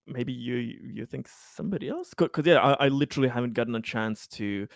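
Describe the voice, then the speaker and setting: A man's voice: medium pitch, lively intonation, brisk, creaky, dry recording, clean studio sound. A man chatting casually as a player in a tabletop game. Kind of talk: casual chat.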